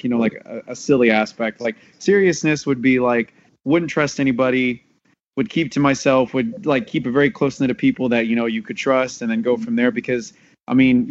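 A man on a panel chatting in a laid-back, rambling, casual way. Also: medium pitch. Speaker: voice actor on a panel. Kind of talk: casual chat. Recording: dull lo-fi audio.

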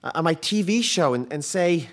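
An actor playing a meek, nervous middle-aged husband, a washed-up talk show host who stammers through a comic audio drama. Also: male voice, on the high side, expressive, brisk, soft background music. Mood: showy, booming.

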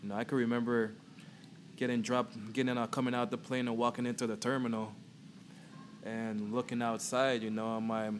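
A man with a Guyanese accent, co-hosting a podcast and telling a relaxed story with frequent "you know" fillers. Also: medium pitch, moderate pace, a little hiss or hum. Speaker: podcast co-host. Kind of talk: casual storytelling.

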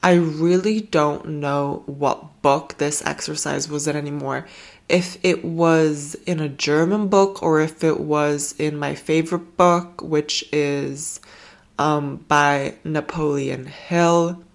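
Low, slow-talking woman with a cold. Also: steady tone, creaky. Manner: gentle, affectionate, rambling with fillers. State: has a cold. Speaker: podcast host. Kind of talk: casual self-help podcast talk. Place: home in Germany.

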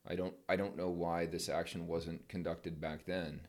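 A middle-aged man, a military veteran guest, giving measured, plain-spoken opinions in a casual podcast chat. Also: low-pitched, level tone.

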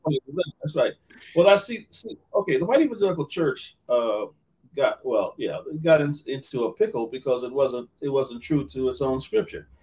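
Male minister, interview guest, earnest and halting, mid pitch, slightly distant mic. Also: expressive, a little mellow. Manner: earnest, many restarts and repeated words. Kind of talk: podcast interview talk.

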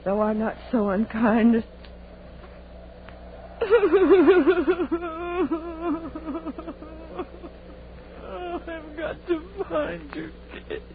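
A woman's voice: very high-pitched, very slow, long pauses, exaggerated voice. An actress playing a frightened, pleading young woman in 1930s radio horror, melodramatic and given to repeating herself, on a muffled, noisy old recording. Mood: desperate, tearful.

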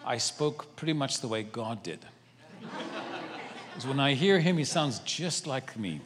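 Lively South African preacher reading scripture. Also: male voice, medium pitch, measured pace, crowd noise, background noise. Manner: playful, dramatic Bible reading. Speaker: guest preacher. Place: church sanctuary. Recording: church hall reverb.

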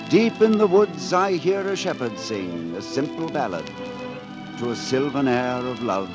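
An actor playing a witty, romantic rogue poet in 1940s radio style, with florid, courtly, wry speech. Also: male voice, medium pitch. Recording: vintage 1940s radio broadcast, orchestral music behind.

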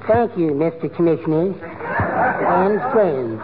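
High-voiced, meek little poet. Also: male voice, expressive, slow pace, over a noisy crowd. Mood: shyly proud. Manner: timid, modest, precious. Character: meek, earnest henpecked little amateur poet. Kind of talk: 1940s radio comedy acting. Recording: tinny, noisy old radio broadcast.

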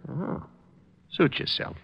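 A man's voice: takes it slowly, a bit bright, no echo, muffled recording. An American man playing a suspicious, menacing gangster boss in a 1950s radio crime drama, talking tough, sneering slang. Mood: gruff, wary. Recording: noisy old radio recording.

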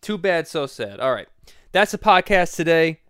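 A man's voice: on the high side, very animated, moderate pace, a bit bright, smooth, no room sound, clean studio sound. A young man hosting a casual podcast, teasing and playfully questioning his grandmother. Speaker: podcast host.

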